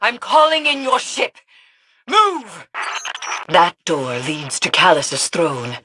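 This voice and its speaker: An actress playing a cryptic occult expert in a video game, speaking gravely and formally. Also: female voice, on the low side, lively intonation, slow, sharp tone, slight background noise, heavily acted. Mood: urgent. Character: solemn, cryptic female occult expert and field agent. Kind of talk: dramatic video game dialogue.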